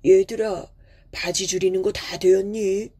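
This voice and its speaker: Woman acting as middle-aged village dad, gentle and proud, hearty, very soft-toned, storybook. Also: mid-range voice, fairly even intonation, unhurried, slightly rough. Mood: puzzled.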